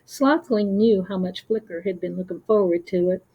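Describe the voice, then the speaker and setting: A woman's voice: mid-range voice, moderate pace, warm tone, husky. A woman storyteller reading a scripted children's story.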